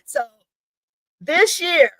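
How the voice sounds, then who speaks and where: A middle-aged woman talking conversationally as an interview guest, emphatic and animated, often checking in with short tag questions. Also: extremely high pitch, very slow, dry recording. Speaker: talk show guest promoting events and a book.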